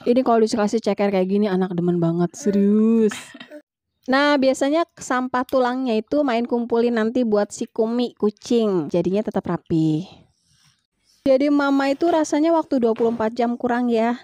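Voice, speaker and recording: A woman's voice: on the high side, moderate pace, warm tone, no echo. A woman with an Indonesian accent narrating a home vlog as a mother, in a chatty, colloquial way.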